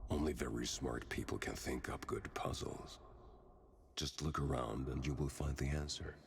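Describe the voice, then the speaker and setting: An actor playing an eccentric, secretive small-town man in a video game, speaking in gravelly, deadpan, ominous sayings. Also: a bass voice, moderate pace, dry recording, exaggerated voice. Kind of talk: dramatic video game voice acting.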